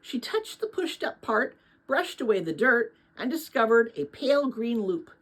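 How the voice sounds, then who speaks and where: A woman narrating a storybook aloud in an expressive read-aloud style, at times casual. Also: on the high side, normal speed, poor audio.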